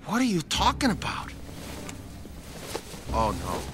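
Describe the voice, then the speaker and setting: An actor playing an anxious sidekick in a radio drama, talking in a nervous, pleading, stammering way. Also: male voice, on the high side, wildly swooping pitch, slow, background noise, full character voice.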